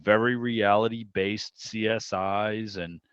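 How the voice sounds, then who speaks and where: Male meeting host, lowish voice, rambling and enthusiastic casual talk, muffled video-call sound. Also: flat delivery, slow, fairly bright tone, smooth. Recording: dull, roomy video-call audio.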